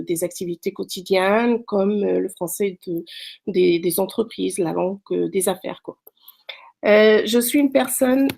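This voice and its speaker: Middle-aged woman with a gravelly voice. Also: medium pitch, warm tone. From Morocco. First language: French, also speaks Arabic. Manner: hesitant, frequent fillers and restarts. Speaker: French tutor. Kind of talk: self-introduction to prospective students. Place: Quebec, Canada.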